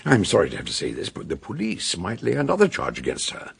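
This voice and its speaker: An aging, eccentric British sleuth in a radio mystery. His lowish voice is very husky and crackles with gravel, swinging widely as he probes bluntly and exclaims theatrically. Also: wildly swooping pitch, full character voice. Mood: grave, insistent. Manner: theatrical exclamations, deliberate questioning. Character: eccentric, blunt, aging male amateur detective, ponderous and probing. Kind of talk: British radio detective drama acting.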